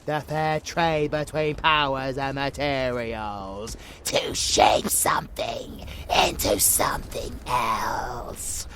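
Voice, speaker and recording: A man playing a sadistic, gleeful vampire abomination in an audio roleplay, with a growling, gravelly voice and a theatrical, taunting manner. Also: medium pitch, level tone, pushed and bright, a little hiss or hum. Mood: gleeful, sinister. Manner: theatrical, menacing, taunting, drawn-out phrasing. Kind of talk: monster ASMR roleplay acting.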